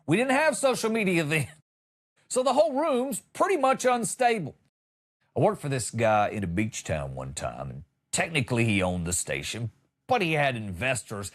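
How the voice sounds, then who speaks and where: A middle-aged man hosting a comedy podcast, telling stories in a folksy, colloquial and punchy way with comic exasperation. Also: a little high. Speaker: comedy podcast host and former radio entertainer. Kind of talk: comedic storytelling monologue.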